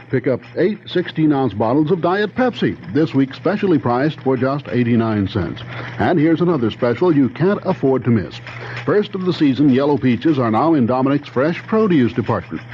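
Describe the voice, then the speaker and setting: Animated American male radio announcer. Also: medium pitch. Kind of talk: scripted ad and weather reading. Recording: muffled old radio, faint noise.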